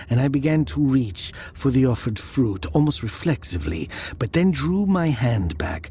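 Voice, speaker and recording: A man playing a haunted storyteller, narrating his own dark tale in the first person with measured, breathy emphasis. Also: medium pitch, slight background noise. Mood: uneasy. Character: haunted male storyteller recalling a meeting in hell.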